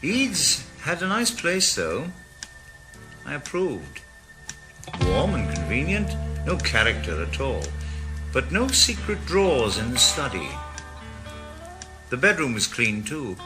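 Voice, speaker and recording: A man's voice: medium pitch, huge pitch swings, slow pace, pauses often, music in the background. An actor playing a wry, world-weary retired Welsh ex-policeman working as a private eye, narrating his own story. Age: older. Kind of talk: radio drama with first-person narration.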